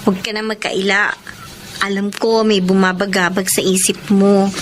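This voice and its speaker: A woman's voice: medium pitch, level tone, moderate pace, a little hiss or hum, close to an everyday voice. An actress playing a feisty young woman student in a Filipino radio drama, guarded yet devoted. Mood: tender concern. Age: young adult.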